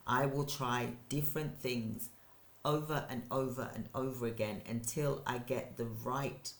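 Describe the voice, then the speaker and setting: An older woman, hosting her own channel and giving an energetic, rambling motivational talk to camera, repeating points for emphasis. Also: a bass voice, unhurried. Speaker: channel host, business owner. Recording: roomy echo.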